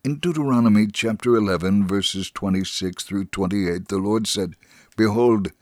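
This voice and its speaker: A man reading a scripted dramatic narration in a measured, solemn manner. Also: fairly low pitch, darkish tone, no room sound, studio quality. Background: faint music.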